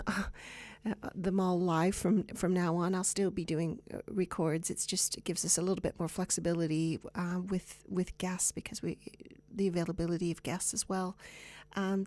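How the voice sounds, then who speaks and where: A woman with a Canadian accent hosting a radio show, giving chatty, unscripted announcements in an informal, halting way. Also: on the low side, steady tone, a little mellow.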